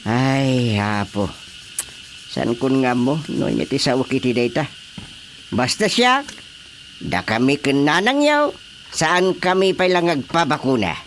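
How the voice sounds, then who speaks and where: A man's voice: mid-range voice, very animated, slow, pauses often, noisy background. Swooping, stubborn, fearful elder, a man acting. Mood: stubborn, agitated. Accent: Filipino. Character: fearful, stubborn elder parent distrusting news. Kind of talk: radio drama acting.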